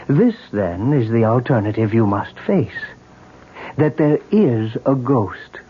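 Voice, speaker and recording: A calm psychiatrist, husky, questioning, muffled old radio. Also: male voice, medium pitch, slow, exaggerated voice. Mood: probing. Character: probing, calm male psychiatrist. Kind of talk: 1970s radio drama acting.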